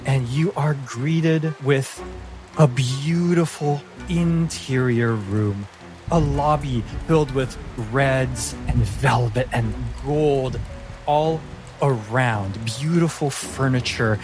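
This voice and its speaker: A man narrating a tabletop fantasy game as game master, in a measured, descriptive storytelling manner. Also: medium pitch.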